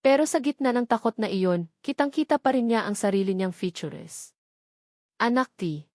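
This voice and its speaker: A woman with a Filipino accent narrating a dramatic story in an emotive, suspenseful way with deliberate phrasing. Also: medium pitch, expressive, darkish tone, dry recording, clean studio sound.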